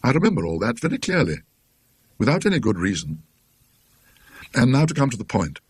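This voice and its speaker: A British man voicing an earnest, suspicious bereaved gentleman in a ghost story, in a dry, donnish, emphatic way. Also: mid-range voice, big pitch swings, a little fast, husky, no echo. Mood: dry, reflective.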